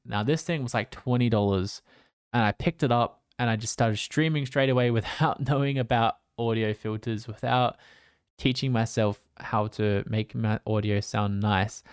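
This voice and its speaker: A man, a streamer, giving casual advice in a relaxed, rambling way with fillers and restarts, talking into a condenser desk mic. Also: mid-range voice, normal speed, clear, resonant tone, no echo, clean studio sound. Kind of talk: casual advice talk to camera. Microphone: condenser desk mic with pop filter.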